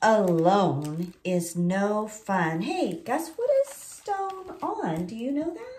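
A woman's voice: medium pitch, sing-song, slow pace, heavy background noise. A woman in her forties hosting a children's story time like a teacher, reading aloud, explaining simply and asking questions. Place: small indoor room with bookshelves.

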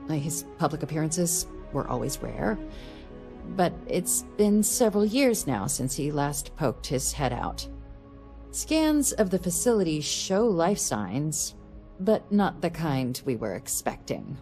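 An actress playing a composed woman diplomatic official in a game, giving a briefing in a measured, formal, dry way. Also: deep voice, big pitch swings, dark tone, faint music behind, exaggerated voice. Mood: measured, wry. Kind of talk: scripted game dialogue, mission briefing.

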